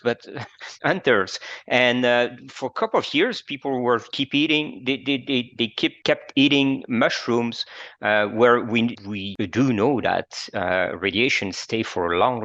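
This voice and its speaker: Fast-talking man, muffled sound. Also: lowish voice, vocal fry, fairly bright tone, no room sound. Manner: fast, halting with many fillers and restarts. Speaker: podcast host. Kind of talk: conversational interview.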